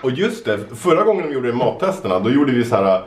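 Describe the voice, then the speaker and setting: A man's voice: medium pitch, very animated, distant mic, crowd noise. Playful man quizzing kids, echoey room. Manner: playful, teasing quizmaster. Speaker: family vlog host running a taste test. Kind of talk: casual family chat. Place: bright indoor room at a dining table.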